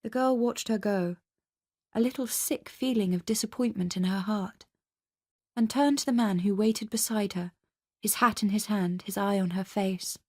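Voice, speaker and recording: A woman's voice: mid-range voice, moderate pace, darkish tone. A woman with a British accent, narrating a literary story for radio in a dramatic style. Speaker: story reader.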